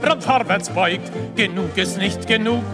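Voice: mid-range voice, a little slow. A singer performing a satirical cabaret chanson in verse, with musical accompaniment. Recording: music behind the voice.

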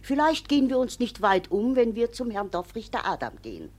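An actress playing an earnest, superstitious village woman who testifies in a deliberate storytelling manner in a classic radio comedy. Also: fairly high pitch, sing-song. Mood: solemn testimony.

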